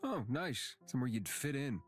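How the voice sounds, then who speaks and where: A man's voice: mid-range voice, expressive, moderate pace, lightly acted. A man voicing a blunt, dryly teasing companion in a visual novel game. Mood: needling. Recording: music under the voice.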